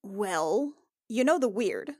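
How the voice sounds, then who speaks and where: A woman's voice: medium pitch, animated, slow pace, darkish tone, dry recording, studio quality. A woman playing a tabletop role-playing game, talking informally and casually in character.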